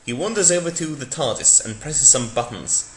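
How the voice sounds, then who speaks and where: A man's voice: medium pitch, lively intonation, normal speed. A man narrating a mock-dramatic reading of fan fiction in a theatrical manner. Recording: echoey room.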